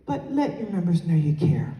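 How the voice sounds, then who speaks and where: Loud animated woman in an echoey hall. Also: lowish voice, moderate pace, muffled recording. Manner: loud, animated, moves the mic away to yell. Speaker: conference speaker. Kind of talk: motivational conference address. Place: large hotel conference hall. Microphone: handheld mic, filmed from far off. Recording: echoey hall, recorded from far off.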